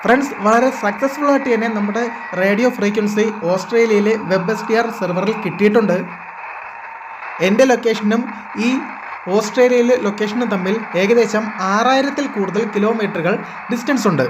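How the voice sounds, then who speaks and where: A man's voice: high voice, moderate pace, background noise. Man narrating an electronics tutorial.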